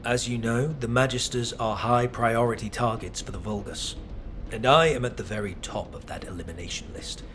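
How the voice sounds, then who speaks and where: An actor playing a composed, calculating male scientist and war leader with formal, measured diction in a video game. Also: medium pitch, a bit bright, faint music behind, close to an everyday voice. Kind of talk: dramatic video game voice acting.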